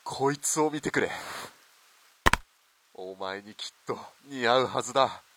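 A man's voice: medium pitch, measured pace, background noise, close to an everyday voice. An actor playing a wealthy, domineering man who pleads bluntly and ardently as a lover in a radio melodrama. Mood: remorseful, ardent. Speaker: actor in amateur radio drama. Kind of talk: melodramatic radio drama acting.

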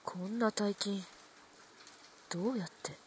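A husky-voiced young courtesan, played by a woman, in a period radio drama. She speaks in antique pleasure-quarter style, proud and sharp-tongued. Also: mid-range voice, measured pace. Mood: coy, composed. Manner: old-fashioned courtesan speech.

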